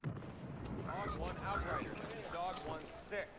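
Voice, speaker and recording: An actor playing a young soldier calling urgently over a field radio in a game cutscene. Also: male voice, high voice, fairly even intonation, measured pace, heavy background noise.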